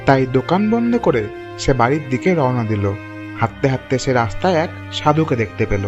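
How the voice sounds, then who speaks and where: Animated male storyteller over soft music. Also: medium pitch, normal speed, lo-fi sound. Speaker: storyteller, narrator. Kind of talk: scripted storybook narration.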